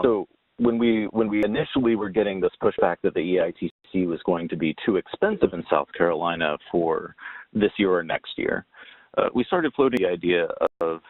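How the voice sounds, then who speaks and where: A low-pitched man talks through slides on a webinar, picking his way along in halting, informal explanations. The audio is muffled and lo-fi, like a conference call, with no room sound at all. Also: no room sound. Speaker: webinar presenter on tax credit policy. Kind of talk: presenting a slide talk. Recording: dull lo-fi call audio, very dry.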